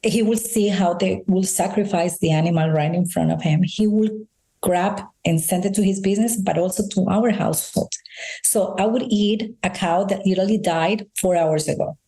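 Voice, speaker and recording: A woman's voice: fairly low pitch, moderate pace, warm tone, low-quality recording. A Spanish-speaking woman from Colombia with a Colombian accent, telling personal stories earnestly as a guest in a conversational interview. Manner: earnest storytelling, frequent restarts and "you know". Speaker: integrative health practitioner, guest.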